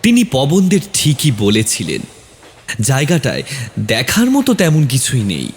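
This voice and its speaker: Male narrator, mid-pitched and highly expressive, suspenseful delivery for scripted horror storytelling. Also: measured pace. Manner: dramatic, suspenseful.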